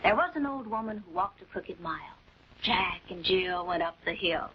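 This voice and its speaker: An actress with an American accent playing a perky, wry assistant investigator in a 1940s television crime drama. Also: female voice, on the low side, lively intonation, normal speed, natural voice. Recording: muffled old 1940s film soundtrack with hiss.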